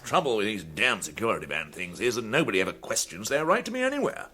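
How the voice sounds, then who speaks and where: A man's voice: lowish voice, very animated, quick pace, sharp tone. A man playing a blustering, hearty and suspicious army colonel in a British radio comedy, with clipped upper-class bluster. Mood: grumbling.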